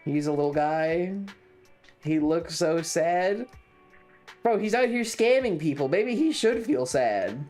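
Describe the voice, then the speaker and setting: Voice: mid-range voice, animated, slow, a little mellow, soft background music. A streamer chatting casually over a game in a sardonic, mock-serious way, taking time over the words. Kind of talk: casual livestream chat and game commentary.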